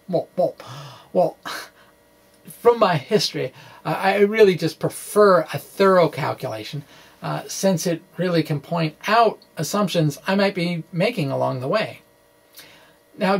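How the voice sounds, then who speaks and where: A middle-aged man explaining science informally as a video blogger, in a halting, rambling way with wry, quirky asides. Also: on the high side, vocal fry. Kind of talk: informal science explainer, reading his blog aloud with asides. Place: small indoor home study with bookshelves. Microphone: microphone on a stand in front of him.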